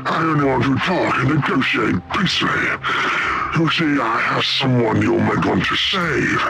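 Gravelly, gloating demonic plague prophet. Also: male voice, mid-range voice, lively intonation, normal speed, heavy background noise. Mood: sly, taunting. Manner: theatrical, mock-polite, savoring. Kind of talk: video game villain acting. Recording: loud music under the voice.

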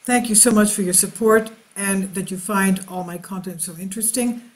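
A woman hosting her own channel, reading a translated news article aloud slowly and deliberately, with asides to her viewers. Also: medium pitch.

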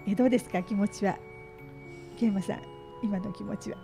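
A woman's voice: medium pitch, fairly even intonation, brisk, creaky. A middle-aged woman hosting live community radio, announcing and interviewing in polite, conversational phrasing. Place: small community radio studio. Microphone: studio boom microphone with headset headphones. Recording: soft background music behind studio voice. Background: faint music.

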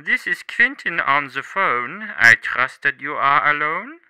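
A man's voice: on the high side, very animated, measured pace, bright, forceful tone, clear, resonant tone, no room sound, full character voice, low-quality recording. A man playing a suspicious henchman who talks in singsong rhymes, in a radio drama. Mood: wary. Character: suspicious rhyming male henchman on the phone.

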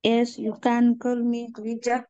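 A woman from Somalia with a Somali accent, a beginner learner answering test questions in halting English. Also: a little high, completely flat delivery, dark tone, dry recording, highs cut off. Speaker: student candidate.

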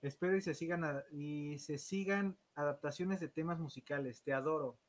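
A man's voice: on the high side, moderate pace. A man with a Mexican accent, casually hosting a live podcast and reading out comments from viewers. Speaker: podcast host.

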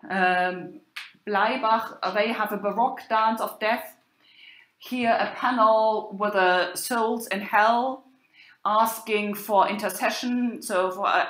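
A woman lecturer giving an unhurried talk, pausing often with ums and uhs. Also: medium pitch, a bit bright, roomy sound. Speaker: university lecturer. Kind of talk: lecture on medieval drama.

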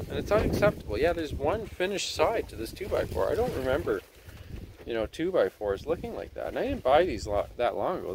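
A man giving a relaxed, chatty review outdoors in his backyard, with chuckles and fillers, filming on a handheld phone. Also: medium pitch, background noise. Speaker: homesteading vlogger. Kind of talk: casual outdoor product review.

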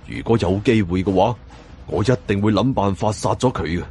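A man's voice: on the low side, animated, fairly quick, a little mellow, slight background noise. A man with a Cantonese accent narrating a scripted first-person story in a dramatic style. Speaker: audiobook narrator.

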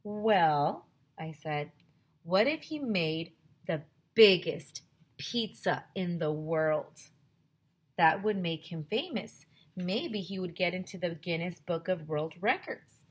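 A woman in her thirties, a teacher on a headset mic, reading a chapter book aloud to children with lively, expressive storytelling. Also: fairly low pitch, slow, breathy edge. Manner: expressive storytelling, addresses kids directly. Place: small home room with classroom decorations.

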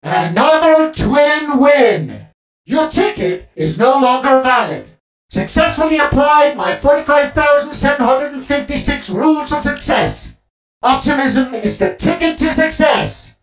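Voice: high voice, huge pitch swings, unhurried, roomy sound, recorded from a distance, soft background music, heavily acted, poor audio. An actor voicing a cheerful, officious robot ticket attendant who is eager and optimistic, for a video game. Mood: upbeat, eager. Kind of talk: video game character voice lines.

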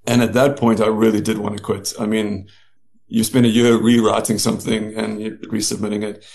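Gravelly low-voiced man. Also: little pitch movement, brisk, darkish tone. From New Zealand and South Africa. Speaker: guest, novelist. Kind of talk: casual interview storytelling.

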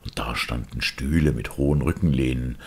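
A man reading a fairy tale as narrator, expressive, with a gravelly, husky voice. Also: extremely low pitch, normal speed. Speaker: storyteller, narrator.

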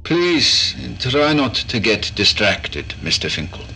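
A man's voice: fairly low pitch, big pitch swings, slow pace, sharp tone, heavily acted. An actor playing a stern, clinical male doctor in a radio drama, who speaks curtly and formally to keep his patient on track. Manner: curt, formal and correcting.

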